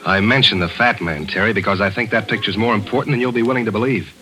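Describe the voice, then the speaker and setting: An actor playing a wisecracking reporter who also narrates, in a 1940s radio drama, wry and sardonic. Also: male voice, on the low side, sharp tone, low-quality recording. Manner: wry, sardonic, fast-talking.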